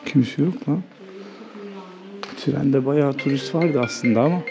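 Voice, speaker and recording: A man's voice: mid-range voice, slow, vocal fry, music in the background, rough recording. A man hosting a casual, unscripted travel vlog, thinking aloud in an informal, conversational way. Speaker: travel vlogger and host. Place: outdoors, walking through an old walled town. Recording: outdoor city ambience, background noise.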